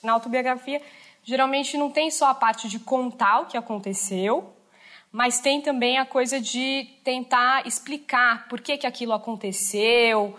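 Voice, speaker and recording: A woman's voice: fairly high pitch, unhurried, sharp tone. A woman with a Brazilian accent explaining casually, as an educator does. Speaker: educator explaining autobiography writing.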